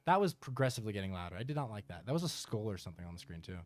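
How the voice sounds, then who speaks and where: A man's voice: fairly low pitch, big pitch swings, very fast. A man doing casual live reaction commentary as a streamer, with a joking, sarcastic manner. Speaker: streamer reacting to horror videos.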